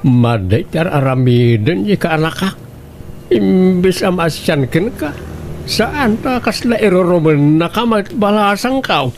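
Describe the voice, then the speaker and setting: A man's voice: high-pitched, lively intonation, measured pace, slight background noise. A man playing a protective, indignant father in a radio drama, exclaiming reproachfully in an Ilocano accent. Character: protective, indignant father fretting over his daughter. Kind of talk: Filipino radio drama acting.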